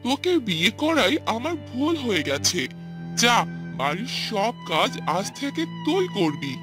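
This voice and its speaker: A woman's voice: a little high, big pitch swings, unhurried, music in the background. A woman voicing a young husband in a cartoon, with an exaggerated delivery. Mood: agitated. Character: young husband, smooth-talking then bullying. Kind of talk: Bengali cartoon voice acting.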